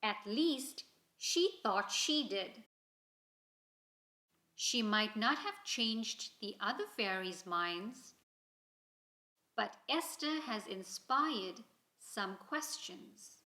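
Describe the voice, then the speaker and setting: An echoey room carries this woman's storybook narration. Her voice is fairly high and bright, and she reads expressively and deliberately for young listeners. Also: animated. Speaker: storybook reader. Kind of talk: children's story narration, read aloud. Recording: roomy, echoing indoor sound.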